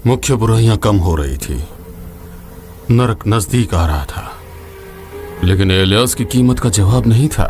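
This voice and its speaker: An actor playing a grave, wary veteran demon hunter and scholar in a fantasy game dub, solemn and measured. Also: male voice, fairly low pitch, lively intonation, darkish tone. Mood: grave, pondering. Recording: music behind the voice.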